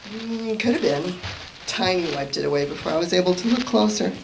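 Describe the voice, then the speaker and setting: A woman's voice: fairly low pitch, animated, fairly quick, vocal fry. A woman acting in a live radio play as a composed, bereaved young backwoods heroine, with noise behind her. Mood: pensive. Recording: noisy live stage recording.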